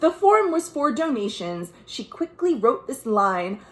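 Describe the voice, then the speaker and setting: An American woman, a children's librarian, reading a picture book aloud in an expressive, sing-song way with chatty asides to young listeners. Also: fairly high pitch, unhurried, roomy sound. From United States. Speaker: children's librarian hosting a virtual storytime. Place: at home.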